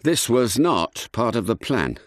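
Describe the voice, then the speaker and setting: Posh-mannered british secret agent car for a game, super animated pitch, unhurried, suave and polite. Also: male voice, dry recording, clean studio sound. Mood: dismayed. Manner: polite, clipped, theatrical. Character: suave British spy car, gentlemanly, confident, unflappable. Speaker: video game character. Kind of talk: scripted game character catchphrases.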